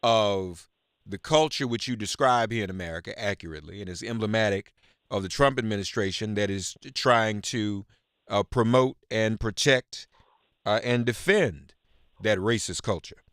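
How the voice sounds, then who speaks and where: A man with a fairly low voice hosts a live political radio call-in show. He speaks haltingly but with emphasis, doubling words to press a point. The sound is close and dry, with very little room on it. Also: a bit bright, clean tone, no room sound. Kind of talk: live political talk radio, news reading and chat with callers.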